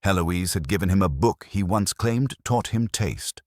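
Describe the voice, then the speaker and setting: A man reading a first-person detective story as a scripted audiobook, in a dry, measured storytelling manner. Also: sits low, lively intonation, no room sound. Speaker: narrator and reader of a detective story.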